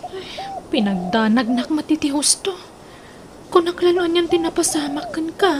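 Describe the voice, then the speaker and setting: A woman's voice: high-pitched, lively intonation, moderate pace. A young woman with an Ilocano Filipino accent playing a determined daughter, earnest and insistent, in a radio drama. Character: determined young daughter eager to marry her boyfriend. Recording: noisy radio drama recording.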